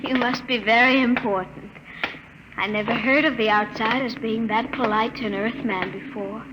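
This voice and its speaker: Actress as high-voiced, proud, anxious radio-drama heroine. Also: female voice, fairly even intonation, normal speed, lightly acted. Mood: puzzled. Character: proud, anxious young woman of oppressed future Earth. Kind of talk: 1950s radio drama acting. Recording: noisy old 1950s radio recording.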